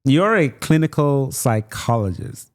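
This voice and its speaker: A man's voice: mid-range voice, sing-song, a little slow, darkish tone. A man from Nigeria hosting a podcast, chatting casually with his guest and nudging him along with frequent affirming murmurs. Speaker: podcast host. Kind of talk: casual interview chat.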